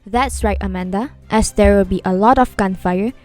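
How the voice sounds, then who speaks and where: Casting: woman as formal, measured radio-drama news reporter, mid-range voice. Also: medium pitch, loud music behind the voice, natural voice. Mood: urgent, instructive. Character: composed female news correspondent reporting on a war.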